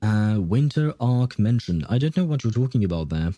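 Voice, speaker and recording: A man's voice: on the low side, very animated, normal speed, dark tone, dry recording. A man hosting a livestream, chatting casually in a rambling way and often losing his train of thought. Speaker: livestream host. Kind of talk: casual game stream chat.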